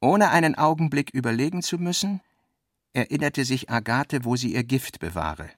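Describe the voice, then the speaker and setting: A man reading scripted literary narration for a radio play in a measured, deliberate manner. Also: mid-range voice, expressive, no room sound, studio quality.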